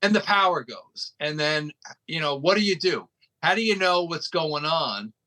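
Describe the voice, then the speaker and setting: A man's voice: on the high side, animated, moderate pace, pushed and bright, rough recording. A man speaking as an interview guest, explaining things in an emphatic, folksy storytelling way. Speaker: interview guest, radio station operator. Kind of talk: conversational explaining.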